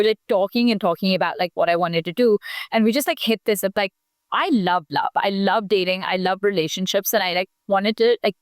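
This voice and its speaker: Brief: young woman from India, outgoing podcast guest, smooth clear voice, dry close sound. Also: medium pitch, dry recording. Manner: chatty, many fillers, outgoing. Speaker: podcast guest, founder and matchmaker. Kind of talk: casual interview talk.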